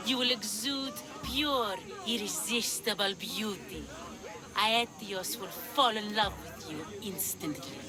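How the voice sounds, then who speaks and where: A woman's voice: medium pitch, natural voice, low-quality recording. A woman playing a sly, theatrical witch of Hecate in a video game, drawing out her phrases seductively. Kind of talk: video game cutscene acting. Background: music.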